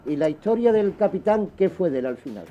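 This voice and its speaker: Guy talking quick and blunt on radio, mellow highish voice, muffled, bit of music. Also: lively intonation, slight background noise. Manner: insistent, blunt. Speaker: radio show panellist. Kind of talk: casual studio discussion. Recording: dull lo-fi radio audio with music.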